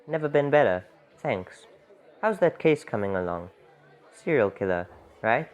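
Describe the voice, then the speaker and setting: A man's voice: medium pitch, animated, unhurried, muffled recording, lightly acted. A man acting a genial, casual bakery keeper chatting with his regulars in a radio drama.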